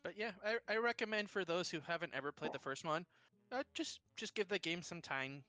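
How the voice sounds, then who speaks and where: Youthful-sounding man in his thirties, bright. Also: high voice, animated, brisk, dry recording, soft background music. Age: 30s. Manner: rambling, many restarts, laughs at himself. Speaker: tabletop game player. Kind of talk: casual chat between players.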